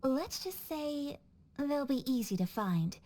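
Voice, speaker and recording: High-voiced, refined young noblewoman. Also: expressive, dark tone. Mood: gracious. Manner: formal, measured, polite. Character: refined, composed young noblewoman, earnest and gracious. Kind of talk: video game dialogue acting.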